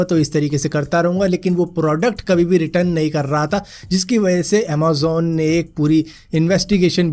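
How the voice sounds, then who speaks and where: Hoarse chatty man, higher-pitched voice. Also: darkish tone. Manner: chatty, addresses viewers as friends. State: hoarse throat after fever. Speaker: news vlogger. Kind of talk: casual Hindi news roundup.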